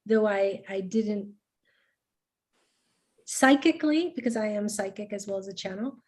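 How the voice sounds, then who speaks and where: Woman host, chatty and animated, quick restarts. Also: medium pitch. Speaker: webinar host. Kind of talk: casual webinar chat.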